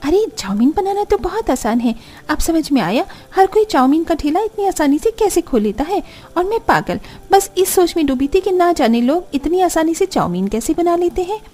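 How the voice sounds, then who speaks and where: A woman acts out a stubborn young daughter-in-law in a family cartoon. The voice sits very high yet has a soft, mellow tone. The delivery is dramatic and emphatic, that of a jealous, boastful woman who talks to herself. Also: sing-song, normal speed, hardly any pauses. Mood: relieved. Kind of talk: Hindi cartoon story dialogue.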